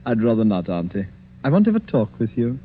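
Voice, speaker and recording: A man's voice: on the low side, very animated, quick pace. A sneering, greedy young nephew in an old radio drama, his voice swooping wildly as he taunts. The recording is dull and lo-fi, with a faint hiss behind it. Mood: menacing. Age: young adult. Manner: mocking, taunting, laughs. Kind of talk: 1940s radio acting.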